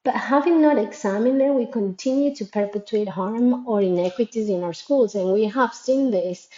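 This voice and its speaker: A woman's voice: on the high side, normal speed, warm tone, reverberant, highs cut off. A woman whose first language is Spanish, from Latin America, an educator giving a thoughtful, earnest reflective monologue that poses questions to listeners. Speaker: educator and creator of a school framework.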